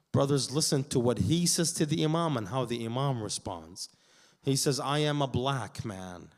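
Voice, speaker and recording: Man, born in Iraq, raised in Canada, sermon, recites and translates Arabic, swings from hushed to emphatic. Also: medium pitch. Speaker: speaker at a Muharram gathering.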